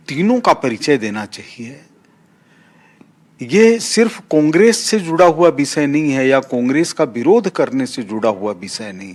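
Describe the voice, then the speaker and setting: A man giving a measured, formal political statement with deliberate, emphatic pauses. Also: medium pitch.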